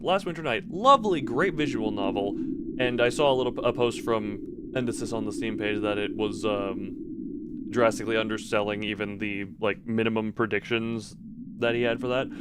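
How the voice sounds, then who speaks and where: A male livestreamer with a medium-pitched voice, speaking casually as he reads aloud and chats with viewers. Noticeable ambient noise sits behind his voice. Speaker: streamer talking with live chat. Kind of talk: casual livestream commentary with read-aloud story passages.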